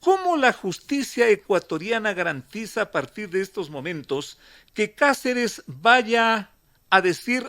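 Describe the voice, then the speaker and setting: High-voiced radio newsman, formal. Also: fairly bright tone. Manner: measured, formal delivery with emphatic phrasing. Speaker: radio news host. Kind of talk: formal radio news commentary and interview.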